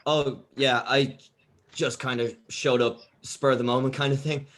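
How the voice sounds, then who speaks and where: A man playing a nervous, awkward young bass player at an audition, reading hesitantly from a script. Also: steady tone, measured pace, a bit bright, natural voice. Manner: hesitant, tentative. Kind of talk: informal script table read.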